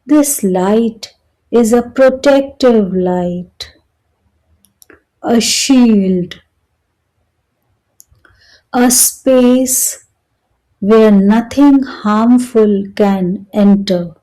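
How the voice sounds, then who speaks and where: A woman guiding a meditation in a calm, soothing manner, speaking in short phrases with long pauses between them. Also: on the high side, low-quality recording. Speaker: meditation guide. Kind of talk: slow guided meditation narration.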